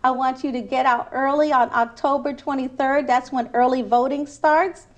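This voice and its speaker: A woman's voice: high-pitched, steady tone, moderate pace, muffled recording. A woman from Trinidad, a city council candidate, answering interview questions in an earnest, persuasive way with frequent restarts.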